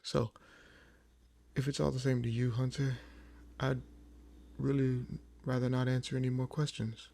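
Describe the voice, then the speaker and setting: A man as a gravelly, brooding vampire. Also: mid-range voice, little pitch movement, dark tone, close to an everyday voice. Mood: somber, guarded. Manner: measured, brooding, pausing often. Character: weary, proud old vampire with a buried past. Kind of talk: slow dramatic audio roleplay monologue.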